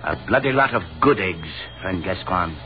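An actor playing a hearty, slangy army lieutenant in a 1930s radio drama, breezy and blustery. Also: male voice, low voice, expressive, normal speed. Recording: dull, noisy 1930s radio recording.

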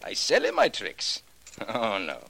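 A man's voice: fairly low pitch, wildly swooping pitch, unhurried, vocal fry, pushed and bright, a little hiss or hum, heavily acted. An actor in a 1940s radio drama playing a bitter old magic-shop craftsman, indignant and theatrical, with stilted phrasing. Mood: bitter refusal. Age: older.

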